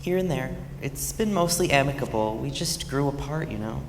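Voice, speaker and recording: A woman's voice: very deep voice, animated, normal speed. A woman playing a wry, divorced schoolteacher in a stage play, chatty and candid. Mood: wistful. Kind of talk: musical theatre staged reading, conversational. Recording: echoey hall, faint noise.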